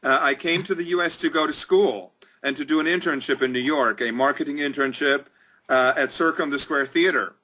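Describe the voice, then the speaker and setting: German-raised guy on a video call, talks a lot, um-heavy, bright tone. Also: a little high, brisk, rough recording. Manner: talkative, upbeat, many ums. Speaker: executive director of a chamber of commerce, guest. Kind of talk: casual interview on a video call. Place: indoors, small room.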